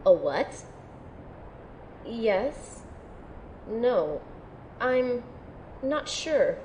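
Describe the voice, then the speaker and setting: A woman's voice: on the high side, big pitch swings, very slow, mellow, heavily acted. A woman playing a wounded, self-doubting young woman in an audio drama, speaking in an earnest, introspective way. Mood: doubtful, subdued. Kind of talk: audio drama dialogue and first-person narration. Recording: noisy ambience behind the voice.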